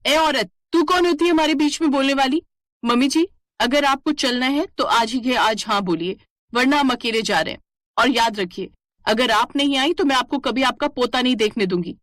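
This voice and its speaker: A woman voicing a spoiled young city daughter-in-law, whiny, haughty and demanding, in an animated family story. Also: sits high, moderate pace, no echo, voice pushed up. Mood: angry, threatening.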